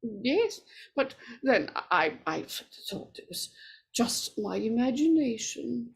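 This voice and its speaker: Woman acting a high, nervous, stammering older lady. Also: big pitch swings, vocal fry, breathy edge, heavily acted. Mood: nervous, hesitant. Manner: halting, stammering. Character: nervous, frightened older woman, superstitious housekeeper type. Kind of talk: 1940s radio horror acting.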